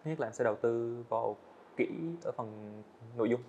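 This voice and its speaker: Young man, podcast guest, rapid talk, earnest, slightly muffled sound. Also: mid-range voice, level tone, creaky, darkish tone, slight background noise. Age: young adult. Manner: earnest, explains at length with fillers. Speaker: guest, student animator. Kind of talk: casual interview talk. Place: studio set, indoors.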